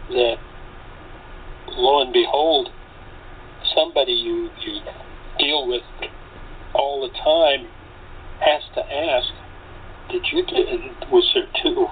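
A man chatting casually in a halting way, often restarting his phrases. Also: medium pitch. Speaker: radio play actor. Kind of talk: casual group chat. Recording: tinny phone-like line with clear noise.

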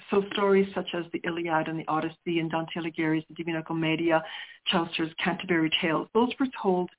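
Middle-aged woman poet guest, Sicilian first language, reflective and searching, tinny phone-line sound. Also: lowish voice. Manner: reflective, searching for words with restarts. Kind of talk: interview conversation.

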